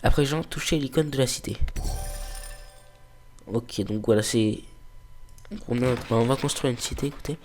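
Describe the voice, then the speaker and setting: A man's voice: mid-range voice, moderate pace, pauses often, darkish tone, a little hiss or hum. Stuffy-nosed man narrating a mobile game. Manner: hesitant, many fillers, reads game dialogue aloud. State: stuffy nose from a cold. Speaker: let's play host. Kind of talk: casual gameplay commentary.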